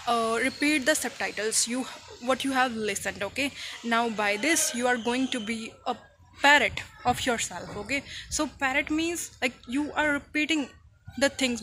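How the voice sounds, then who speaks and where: A woman whose first language is Punjabi gives a chatty English lesson in a fairly high voice. She keeps checking in with the listener and stops to explain each idiom. Steady background noise runs under the recording. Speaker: English teacher. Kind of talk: casual spoken English lesson.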